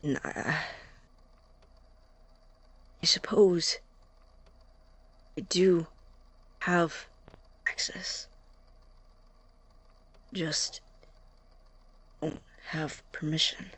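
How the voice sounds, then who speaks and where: A woman playing an anxious, obsessive young science student in an audio drama, halting and interrupting their own thoughts with intensity. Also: sits low, fairly even intonation, fairly bright tone, husky, in a whisper, full character voice. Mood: brooding, resolved.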